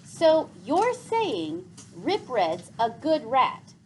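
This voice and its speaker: A woman's voice: high-pitched, wildly swooping pitch, drawn-out words, a little hiss or hum, voice pushed up, full character voice. A woman voicing an anxious, bewildered boy in a storybook, blunt and full of questions. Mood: disbelieving. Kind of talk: storybook read aloud.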